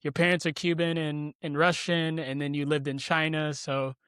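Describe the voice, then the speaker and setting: Male podcast host, smooth and slightly high voice, casual rambling questions. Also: little pitch movement, moderate pace, a bit bright, no room sound, studio quality. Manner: friendly, rambling questions with fillers. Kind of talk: casual interview hosting.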